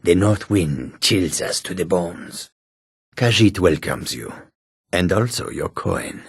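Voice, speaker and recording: Husky, low cat-folk wanderer. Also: male voice, lively intonation, no echo, clean studio sound, heavily acted. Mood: weary, measured, then courteous, welcoming. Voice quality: raspy, gravelly. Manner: deliberate, formal, speaks of self in third person. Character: wary, courteous male cat-folk desert trader and guard. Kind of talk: video game character voice lines.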